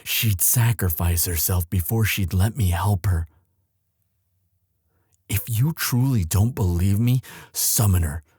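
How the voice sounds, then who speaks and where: A man playing an earnest, lovestruck young prince in an audio drama, speaking formally and haltingly as he implores his father. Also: low voice, darkish tone, slightly rough, no echo, clean studio sound, full character voice. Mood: solemn, devoted. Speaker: voice actor in romance audio roleplay. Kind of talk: dramatic one-sided audio roleplay.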